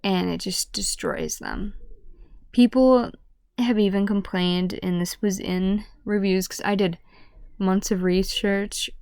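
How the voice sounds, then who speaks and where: A woman with a hoarse, deep voice that often drops to a whisper, talking casually to camera in a halting way. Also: expressive, darkish tone, no echo, studio quality. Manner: halting, with restarts and stutters. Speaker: vlogger.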